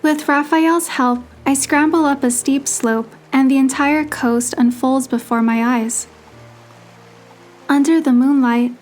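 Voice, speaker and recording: A woman plays a young romantic heroine telling her own story. Her voice is high and gentle, measured in both narration and dialogue, over faint guitar music. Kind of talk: scripted first-person narration and dialogue. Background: background music with guitar.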